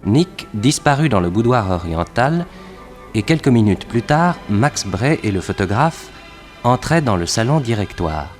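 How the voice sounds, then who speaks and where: An actor playing a young, streetwise detective's assistant who narrates an early sixties radio adventure in a matter-of-fact way. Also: male voice, medium pitch, very animated, a little slow. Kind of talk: early 1960s radio drama narration and dialogue. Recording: old radio production with music behind.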